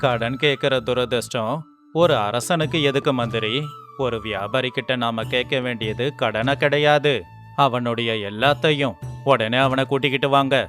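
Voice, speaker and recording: A man playing a shrewd scholar on the throne in an animated moral story, commanding and measured, with mock sympathy. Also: mid-range voice, faint music behind, lightly acted. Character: shrewd, sarcastic scholar sitting as king, commanding.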